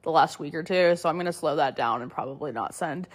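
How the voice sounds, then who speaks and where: Chatty woman with a cold, low voice. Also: fast talker. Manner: chatty, filler-heavy, frequent little laughs. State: slightly hoarse from a cold. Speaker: podcast host. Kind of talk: casual solo podcast chat.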